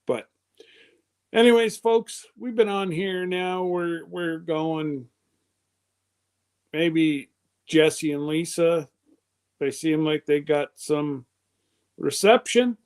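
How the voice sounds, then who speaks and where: A man's voice: on the high side, slow pace, long pauses, clean tone. A man in his fifties hosting a live stream, chatting casually in a loose, rambling way. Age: 50s.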